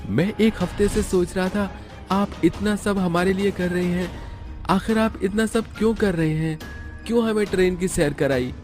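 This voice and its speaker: A man's voice: high voice, moderate pace, darkish tone, voice pushed up, lightly acted. A man voicing a polite, earnest young man in a cartoon story, with music behind him. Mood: curious. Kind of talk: Hindi cartoon story acting.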